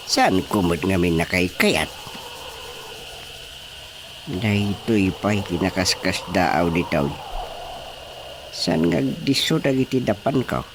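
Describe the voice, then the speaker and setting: A man's voice: deep voice, background noise. An actor with an Ilocano Filipino accent playing a theatrical elderly father-in-law in a comedic radio drama.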